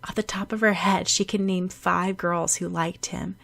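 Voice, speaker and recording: A woman's voice: fairly low pitch, fairly even intonation, normal speed, gravelly, a bit bright. A woman narrating a story expressively as a scripted audiobook.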